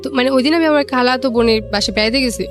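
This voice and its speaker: Quick-talking young Bangladeshi woman, slightly high voice. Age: young adult. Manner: colloquial, acts out remembered dialogue. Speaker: guest telling own life story. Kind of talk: casual personal storytelling. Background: music.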